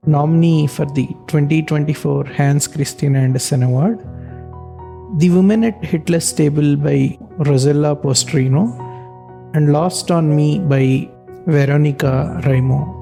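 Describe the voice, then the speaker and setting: Dark, gravelly male podcast host. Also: fairly high pitch. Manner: reads the intro carefully, with a few hesitations. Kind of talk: scripted introduction and interview questions.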